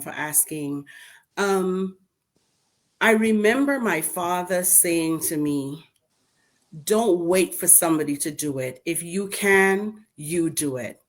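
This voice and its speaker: A middle-aged woman from Trinidad and Tobago with a Trinidadian accent, speaking as a guest in a community panel discussion and telling her story emphatically. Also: on the low side. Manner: lively storytelling, emphatic and animated. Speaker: school board member and panel guest.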